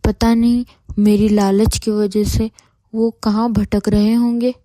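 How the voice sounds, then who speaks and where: A woman's voice: medium pitch, flat delivery, takes it slowly, mellow, no echo, lightly acted. A woman voicing a greedy, insistent neighbour wife in a Hindi cartoon moral story. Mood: worried, regretful. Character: greedy, demanding neighbour wife, later remorseful.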